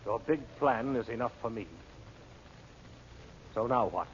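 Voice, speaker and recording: A man's voice: mid-range voice, unhurried, close to an everyday voice. An actor playing a cynical henchman in an old radio serial, talking bluntly and colloquially as he argues and schemes. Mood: sly. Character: cynical male henchman posing as reporter. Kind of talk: 1940s radio serial acting. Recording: muffled, noisy old radio recording.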